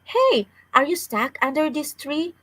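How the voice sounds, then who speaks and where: A woman's voice: high voice, big pitch swings, measured pace, sharp tone, voice pushed up, full character voice. A woman voicing an urgent, protective young boy in a children's story, calling out to a friend. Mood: reassuring. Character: young boy, excited and protective older-brother type.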